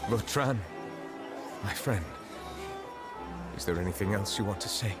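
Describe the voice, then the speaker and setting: An actor playing a grave, dignified judge with a formal, measured delivery in a video game drama. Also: male voice, on the low side, loud music behind the voice, close to an everyday voice. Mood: subdued. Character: grave, dignified male chief judge, restrained and sorrowful.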